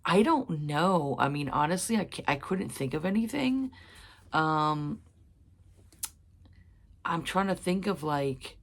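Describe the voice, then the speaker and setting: Woman, hoarse with a cold, deep voice, rough fry, rambling, lots of fillers. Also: lively intonation, slow pace, pauses often. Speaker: book vlogger. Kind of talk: casual chat.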